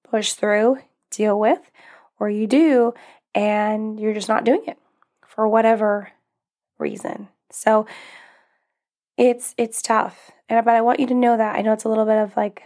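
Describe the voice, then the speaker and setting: A woman's voice: medium pitch, a little mellow. A young woman hosting her own podcast, talking casually and encouragingly with little laughs, her voice a bit strained as it recovers from being lost. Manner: upbeat, encouraging, with frequent little laughs and fillers. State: recovering from losing her voice, may crack. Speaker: podcast host and life coach. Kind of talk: casual solo podcast talk.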